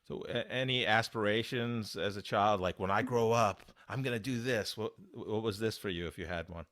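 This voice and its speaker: A man's voice: fairly low pitch, normal speed, a bit bright, no room sound, studio quality. A man hosting an interview podcast, easygoing and hesitant, with many fillers. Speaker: podcast host. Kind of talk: casual interview conversation.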